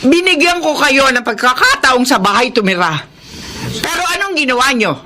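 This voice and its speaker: A woman's voice: high-pitched, expressive, moderate pace, a crowd in the background. An actress playing a stern, indignant older mother of grown children in a Filipino radio drama, firm, reproving and emphatic.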